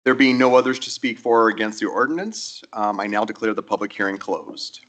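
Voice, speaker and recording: A man presiding over a council meeting, brisk and procedural as he moves through the agenda in a council chamber. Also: on the low side, fairly bright tone.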